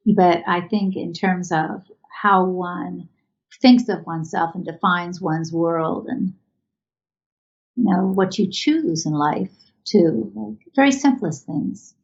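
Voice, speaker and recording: A woman giving reflective, halting interview answers as an artist, recorded over an internet video call. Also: on the low side, creaky, a little mellow, lo-fi sound.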